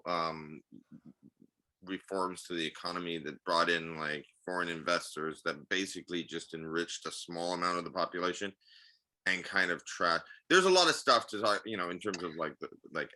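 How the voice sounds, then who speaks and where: Deep, bright-edged man chatting casually. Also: moderate pace. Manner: casual, rambling, heavy use of fillers. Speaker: podcast co-host. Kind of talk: podcast interview.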